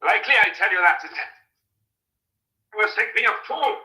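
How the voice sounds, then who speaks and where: A man's voice: sits high, animated, moderate pace, sharp tone, full character voice. An actor playing a jealous, suspicious young countryman, curt and sarcastic, in a vintage radio drama. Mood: curt, resentful.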